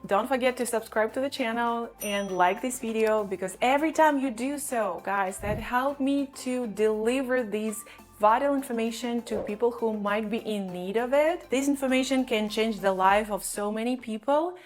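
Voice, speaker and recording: A woman's voice: fairly high pitch, normal speed, soft background music. A woman who is not a native English speaker presents an explainer to online viewers, speaking in a direct, instructive way and often posing questions she then answers. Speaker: online video host, digital marketing agency owner.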